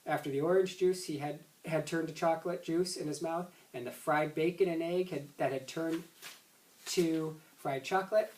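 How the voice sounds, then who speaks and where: A man's voice: a little high, fairly even intonation, moderate pace, darkish tone, slight background noise. A man reading a children's story aloud expressively, now and then stumbling and rereading words. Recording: roomy echo, distant microphone.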